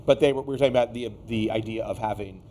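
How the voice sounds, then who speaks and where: A man's voice: on the low side, very fast, dark tone, slight background noise. A man hosting a casual, unscripted tech discussion, rambling and exasperated, tired and getting sick. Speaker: tech show host.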